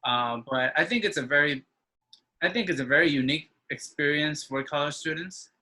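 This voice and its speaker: A man in his twenties, an interview guest, talking with halting speech and frequent restarts. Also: fairly high pitch, sharp tone. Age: 20s. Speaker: interview guest, engineering student. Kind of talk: casual conversation.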